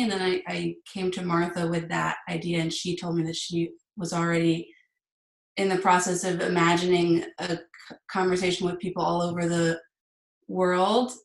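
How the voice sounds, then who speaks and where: A woman's voice: fairly low pitch, echoey room, low-quality recording. A young woman guest telling a story in casual chat, with restarts and small laughs, recorded over a remote call. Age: young adult.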